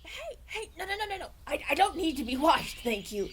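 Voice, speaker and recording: A man's voice: very high-pitched, very animated, fairly quick, a bit bright, a little hiss or hum, heavily acted. Flustered, stammering young prince acted by a man. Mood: flustered, pleading. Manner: stammering, awkward, courteous. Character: flustered, polite young human runaway prince. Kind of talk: fantasy audio roleplay acting. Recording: outdoor nature ambience behind.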